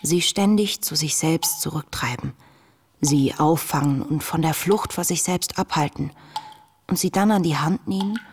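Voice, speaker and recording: An actress playing a young woman diarist in a radio play, earnest and reflective, speaking in a measured, intimate way. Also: low-pitched, darkish tone, slight background noise. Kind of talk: radio play, diary read as monologue. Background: music.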